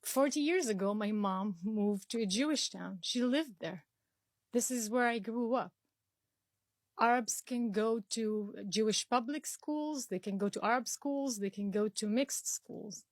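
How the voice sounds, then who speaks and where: A woman's voice: fairly high pitch, a little slow, no echo. A young woman from Israel whose first language is Arabic, answering interview questions earnestly with frequent restarts and fillers. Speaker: interview guest.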